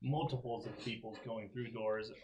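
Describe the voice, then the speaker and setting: A man's voice: on the low side, steady tone, moderate pace, a little mellow, poor audio. A man chatting and joking casually as a player at a game table.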